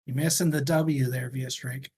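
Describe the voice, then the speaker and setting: A man's voice: medium pitch, steady tone, moderate pace, a little mellow, husky. A man hosting a book discussion show in casual, informal, joking chat.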